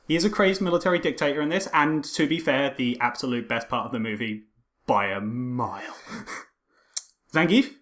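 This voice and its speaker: A man's voice: medium pitch, normal speed, a bit bright. Male co-host for a casual film podcast, mid-range voice, wry and amused delivery. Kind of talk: casual film critique chat.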